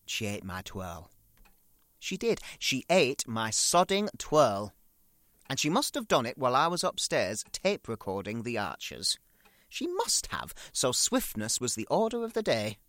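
A man's voice: mid-range voice, wildly swooping pitch, a little slow, fairly bright tone, no room sound, clean studio sound. A man playing a fussy, pompous, middle-aged British son with petty grievances, in a comic dramatic monologue. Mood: indignant. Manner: prim, pompous, florid wording, prone to indignation.

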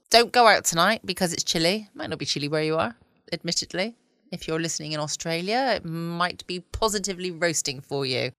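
Lowish-voiced southern English woman, dry close sound. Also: fairly bright tone, dry recording. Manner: chatty, cosy, informal. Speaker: podcast host. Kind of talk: casual bedtime podcast chat. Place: at home, indoors.

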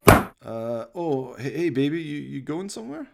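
A man's voice: fairly high pitch, big pitch swings, measured pace, gravelly, heavy background noise, voice pushed up, exaggerated voice. A man voicing a hapless slacker in a comic radio play, whiny and pleading, stammering and feeling sorry for himself. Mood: nervous, pleading. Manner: stammering, pleading, self-pitying.

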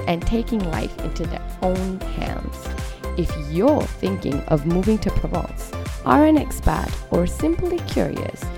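Gravelly-voiced woman hosting a podcast. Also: mid-range voice. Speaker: podcast host. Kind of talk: scripted intro and interview questions.

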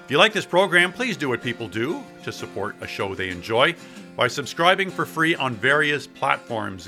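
A man's voice: mid-range voice, pushed and bright. A man hosting a local podcast, reading a comic sketch and a wry, self-deprecating outro. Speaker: podcast host.

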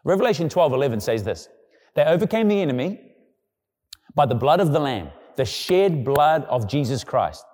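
A male pastor preaching a sermon in a conversational way, now and then acting out quoted people in a lower, quieter voice. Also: sing-song, moderate pace, big-room sound.

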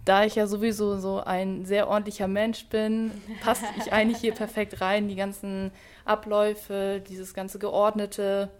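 A woman's voice: medium pitch, little pitch movement, measured pace. A nineteen-year-old woman, a trainee, answering interview questions cheerfully and a little rambling. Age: teens. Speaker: trainee in public administration.

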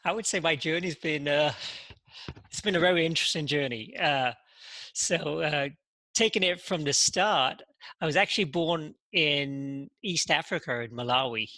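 Halting man, bright forceful voice. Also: on the high side, no echo. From England. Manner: halting, heavy use of "you know" and repeated words. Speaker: podcast guest. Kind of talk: casual interview talk.

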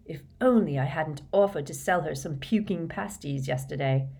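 A woman's voice: sits low, moderate pace, vocal fry, a little mellow, breathy edge, full character voice. A woman voicing a sly teenage prankster boy who mutters conspiratorially in a storybook reading. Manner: muttering out of the corner of the mouth.

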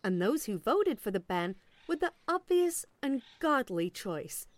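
An officious female agency representative in an audio drama. Her voice sits fairly high and swings expressively through a polished sales patter. She stays politely relentless, with sarcasm tucked under the courtesy. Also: big pitch swings, unhurried. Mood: coolly pointed. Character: smooth, officious woman agent, politely relentless with veiled sarcasm. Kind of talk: audio play acting.

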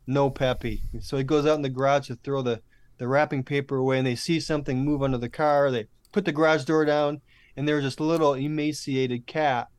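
A man chatting casually as an interview guest, telling stories in an animated, easygoing conversational way. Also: mid-range voice, brisk, clean tone, dry recording. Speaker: audio drama producer and podcast guest.

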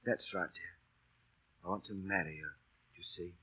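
An actor playing a hurt, proud street busker with a husky voice in an old radio drama. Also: male voice, low voice, steady tone, normal speed, pushed and bright, full character voice. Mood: desperate tenderness. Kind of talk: 1940s radio play acting. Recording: dull, lo-fi old radio recording.

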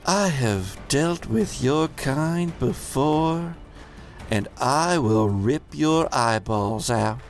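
Man voicing a slow, menacing woman general. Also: mid-range voice, expressive, soft background music. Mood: cold menace. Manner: threatening, slow and deliberate. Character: menacing, furious woman general, a villain with swords.

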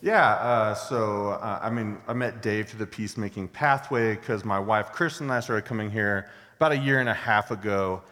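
A man talks about his volunteer work from a church stage, hesitant and leaning on uhs as he goes. His voice is on the low side, with a long hall reverb around it. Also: normal speed, sharp tone. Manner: hesitant, filler-heavy. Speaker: nonprofit volunteer guest. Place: church sanctuary.

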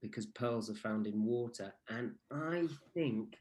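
A man's voice: mid-range voice, measured pace, darkish tone, slight background noise. A man, co-hosting a casual chat and telling a playful, rambling story as the show's language guru. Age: 30s. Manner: anecdotal, with restarts and playful asides.

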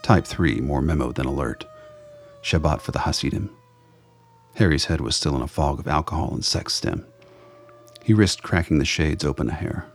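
Very deep, gravelly male narrator. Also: moderate pace, slight background noise. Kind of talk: scripted radio play narration, noir-like.

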